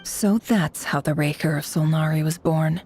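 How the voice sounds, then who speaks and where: A woman's voice: deep voice, moderate pace, darkish tone, slight background noise. A woman voicing a haughty, teasing boss in a video game, speaking in an imperious, dryly sarcastic way. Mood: somber, reflective. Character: haughty, teasing, theatrical female boss, world-weary and bossy. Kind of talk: video game character acting.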